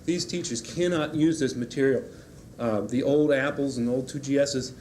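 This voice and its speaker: A man's voice: medium pitch, moderate pace, a little mellow. A man giving a public comment at a school board meeting, firm and urging. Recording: 1990s public-access broadcast with faint hiss.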